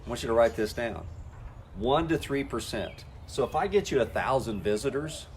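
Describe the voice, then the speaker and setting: A man's voice: mid-range voice, expressive, normal speed, a little mellow, a little hiss or hum. A man coaching network marketers in a folksy, talk-to-camera style, acting out the conversations he has with people. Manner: folksy, acts out quoted conversations.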